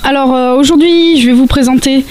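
Higher-voiced woman rambling on a podcast, lots of ums and restarts, faint hiss behind. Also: fairly even intonation, brisk, a little mellow. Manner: hesitant, many fillers and restarts. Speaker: podcast columnist on cosplay. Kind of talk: casual podcast chat.

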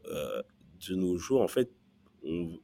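A man with a very deep voice speaks as an interview guest. He tells a personal story haltingly, repeating words while he looks for the right phrasing. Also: expressive, vocal fry, dark tone. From born in Central Africa, raised in Belgium from early childhood. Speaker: podcast guest.

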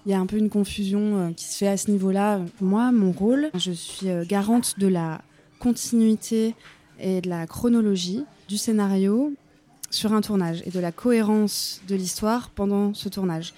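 A woman's voice: mid-range voice, moderate pace, warm tone. A young woman from France, guest on an interview, speaking thoughtfully and hesitantly with many fillers in a café-restaurant. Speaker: guest, film script supervisor. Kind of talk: conversational interview.

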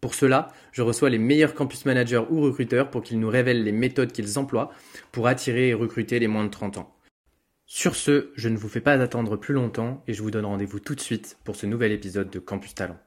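A man's voice: mid-range voice, steady tone, a little fast. A man hosting a podcast who reads a scripted intro, then interviews his guest with many fillers and repeated words. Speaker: podcast host.

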